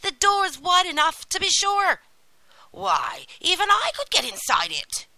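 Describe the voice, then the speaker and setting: A woman's voice: extremely high pitch, huge pitch swings, measured pace, pushed and bright, dry recording, voice pushed up, full character voice, low-quality recording. A woman voicing a cruel, greedy old witch from a storybook, with a scolding, menacing manner. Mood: angry scolding. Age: older. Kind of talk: storybook character acting.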